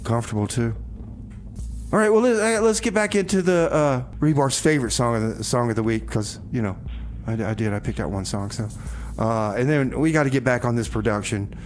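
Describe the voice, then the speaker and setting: A man's voice: medium pitch, lively intonation, fairly quick, a little hiss or hum. A man hosting a community radio show with casual comic patter, rambling and repeating his phrases in a folksy way.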